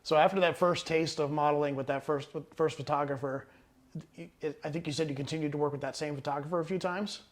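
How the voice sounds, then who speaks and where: A man hosting an interview as a photographer, speaking in a relaxed, chatty way with lots of "uh" fillers, indoors at a rented home. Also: a little high, quick pace. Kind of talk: casual sit-down interview.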